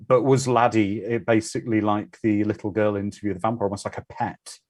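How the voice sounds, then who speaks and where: A man's voice: on the low side, flat delivery, a little fast, darkish tone. A man hosting a watch party, giving casual, conversational commentary on a film.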